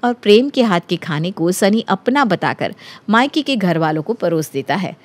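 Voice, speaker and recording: A woman narrating a scripted animated story as its storyteller. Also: medium pitch, normal speed.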